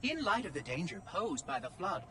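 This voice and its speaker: High, prissy ancient machine oracle. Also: male voice, sing-song, a little fast, a bit bright, slight background noise. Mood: officious, urgent. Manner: prissy, precise, officious. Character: fussy, prim, ancient machine oracle, guardian of an installation. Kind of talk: game cutscene acting. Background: music.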